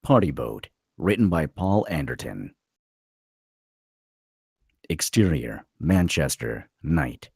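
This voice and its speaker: A man narrating a screenplay at a table read, with a gravelly, husky voice. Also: extremely low pitch, big pitch swings, takes it slowly, long pauses, a little mellow, dry recording, studio quality.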